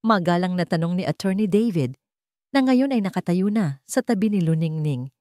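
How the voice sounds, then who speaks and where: Woman storyteller, somewhat low voice, dry close sound, even dramatic delivery. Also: moderate pace, no echo. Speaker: narrator. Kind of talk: scripted dramatic story narration.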